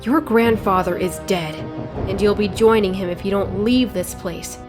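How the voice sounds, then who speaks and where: A woman's voice: medium pitch, normal speed, natural voice. A woman acts out a fiercely loyal female warrior. Her mid-range voice is curt and threatening, set over strong music in a motion comic. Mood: cold threat. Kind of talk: motion comic fan dub acting.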